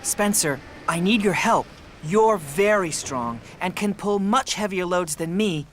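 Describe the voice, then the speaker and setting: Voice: mid-range voice, lively intonation, a little slow, a little hiss or hum, close to an everyday voice. An actor voicing an eager, cheeky young male tank engine in a children's cartoon, speaking earnestly and emphatically. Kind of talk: children's cartoon character acting.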